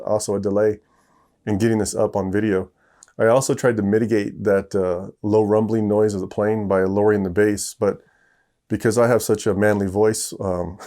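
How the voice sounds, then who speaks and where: Low, mellow-voiced male podcast host. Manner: rambling, self-deprecating, many fillers and restarts. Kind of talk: casual podcast talk. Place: home, planes passing overhead.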